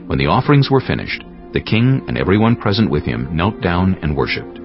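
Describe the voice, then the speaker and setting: A man narrating scripture from a script in a solemn, measured storytelling manner, as for a dramatized audio Bible. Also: low-pitched. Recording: slightly muffled, music underneath.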